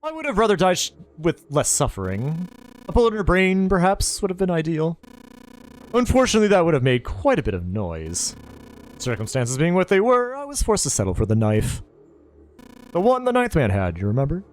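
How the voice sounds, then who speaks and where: A cruel, genteel villain who mocks with exaggerated politeness, as a male reader puts him on. His pitch is raised and leaps all over the place. Faint music plays under this storybook reading. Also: wildly swooping pitch, brisk. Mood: relishing. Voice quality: pompous, mocking, overly polite. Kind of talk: audiobook character voice.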